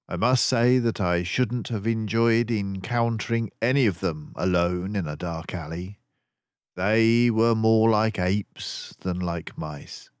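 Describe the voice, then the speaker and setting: An older man reading a children's story aloud in an expressive, unhurried way. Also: low voice, no room sound. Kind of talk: scripted narration.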